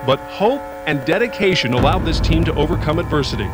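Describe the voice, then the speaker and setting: A man delivering a steady, scripted news voice-over of a basketball season's highlights. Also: fairly quick, background noise. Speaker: news sports reporter. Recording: voice-over under loud music.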